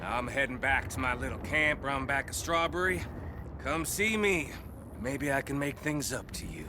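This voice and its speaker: An actor playing a cocky, wheedling, menacing outlaw in a video game, with a sly drawl. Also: male voice, medium pitch, expressive, moderate pace, background noise, lightly acted. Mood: sly, cajoling. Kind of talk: video game cutscene acting.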